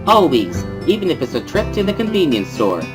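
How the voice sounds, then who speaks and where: A woman's voice: fairly low pitch, fairly quick, over music. A woman voicing a playful, bubbly schoolgirl in an amateur visual novel reading, girlish and exclamatory. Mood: bubbly, teasing. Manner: girlish, exclamatory, easily excited. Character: bubbly, playful schoolgirl interpreter who laughs loudly.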